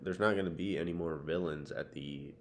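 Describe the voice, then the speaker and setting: A man's voice: extremely low pitch, a little fast, slight background noise. A young American man co-hosting a podcast, speaking in casual, slangy, agreeable chat. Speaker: podcast co-host.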